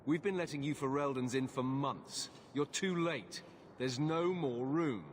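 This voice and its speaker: An actor playing a weary, blunt guard captain in a video game cutscene, speaking curtly and firmly. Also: male voice, mid-range voice, unhurried, a little hiss or hum, close to an everyday voice. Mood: stern. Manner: curt, firm.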